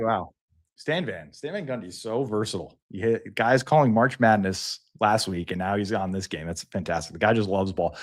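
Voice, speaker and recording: A man hosting a live sports talk show, chatting casually and playfully as he builds points in short, repeated phrases. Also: fairly low pitch.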